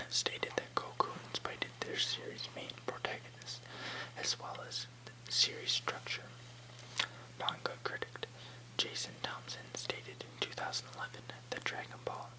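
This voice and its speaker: An ASMR reader speaks entirely in a whisper. The delivery is hushed and careful, like someone reading a reference article aloud, with small stumbles over unfamiliar names. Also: rough recording. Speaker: ASMR channel host.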